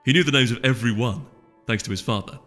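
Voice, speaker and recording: Male audiobook narrator, middle pitch, music behind, noticeable reverb tail. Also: fast, pushed and bright. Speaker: audiobook reader. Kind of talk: scripted story narration.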